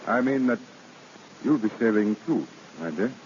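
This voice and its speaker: An actor playing a proud, wounded husband in an old radio drama, with a formal, commanding manner. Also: male voice, lowish voice, animated, moderate pace, darkish tone, lightly acted. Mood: resigned, controlled. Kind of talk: 1930s radio drama acting. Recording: muffled, noisy old radio broadcast recording.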